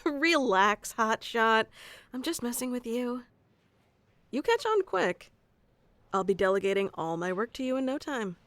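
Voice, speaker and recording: A woman's voice: a little high, animated, a little slow, long pauses, a bit bright, no echo. A woman playing a haughty, easily flustered tsundere young wizard mentor in an ASMR roleplay, bossy and teasing, stammering when flustered. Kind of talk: ASMR roleplay acting addressed to the listener.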